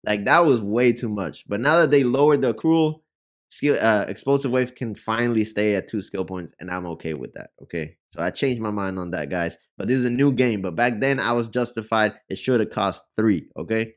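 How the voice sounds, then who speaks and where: A man's voice: on the low side, fairly quick. A man with a Puerto Rican Spanish accent and Spanish as his first language, chatting loosely about a fighting game as a reaction host. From Puerto Rico. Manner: chatty, rambling, uses many fillers. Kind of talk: casual gaming commentary.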